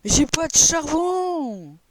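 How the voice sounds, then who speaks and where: A man's voice: extremely high pitch, big pitch swings, slow. A young man with a southern French accent, bantering and teasing his friend while gaming. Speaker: gamer. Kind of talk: casual gaming chat.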